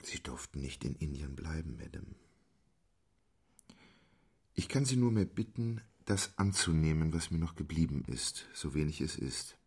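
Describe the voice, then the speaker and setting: An actor playing a reserved, formal English gentleman in a radio play, speaking in a measured, restrained way. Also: very deep voice, expressive, slightly rough, full character voice.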